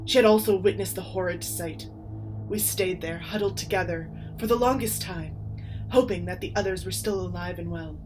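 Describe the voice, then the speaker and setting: A woman's voice: on the low side, normal speed, over music, poor audio. A woman reading a scripted horror story aloud in a theatrical, suspenseful way. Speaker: author reading their own short story.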